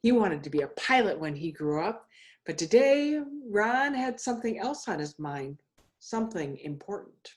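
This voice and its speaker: A woman reading a children's story aloud in a deliberate, expressive way, as a guest minister. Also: mid-range voice. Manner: slow, deliberate, expressive phrasing. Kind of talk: storybook read-aloud for all ages.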